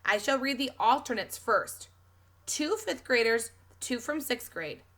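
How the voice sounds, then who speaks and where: A woman voicing a proud male schoolteacher with a formal, deliberate, dry manner, reading from a storybook. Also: fairly high pitch, lively intonation, a bit bright, pitched above the performer's own voice.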